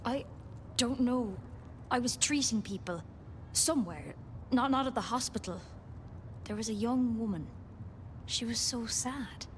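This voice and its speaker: A gentle video game healer who is shaken and forgetful. Her fairly high voice is halting and wistful, with a faint rumble behind it. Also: animated. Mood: shaken, sad.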